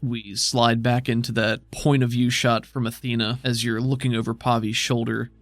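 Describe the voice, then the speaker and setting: A man narrating a tabletop game as game master in a measured, descriptive way. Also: medium pitch, level tone, fairly bright tone, no room sound.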